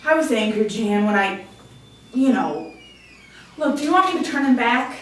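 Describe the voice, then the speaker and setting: A woman plays an indignant, vengeful small-town witch with an animated, highish voice. It is an amateur screenplay reading in a very echoey room. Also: normal speed, natural voice. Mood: awkward. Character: indignant, vengeful witchy woman who talks to her cat. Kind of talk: amateur screenplay table read. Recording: echoey room, far from mic, hiss.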